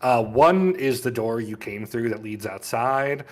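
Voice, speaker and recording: A man's voice: medium pitch, lively intonation, unhurried. A man running a tabletop fantasy game as game master, describing scenes in improvised, conversational narration.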